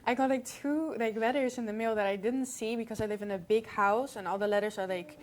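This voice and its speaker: Chatty young woman, slightly high voice. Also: fairly even intonation, a little fast, a little hiss or hum. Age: young adult. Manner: chatty, lots of "like". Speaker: host. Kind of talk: casual chat.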